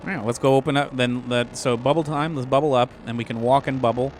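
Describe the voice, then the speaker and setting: A man's voice: mid-range voice, fairly even intonation, fast talker, a little hiss or hum. A man playing a tabletop game, breaking in with joking, crude banter. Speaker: player. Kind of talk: casual tabletop game play.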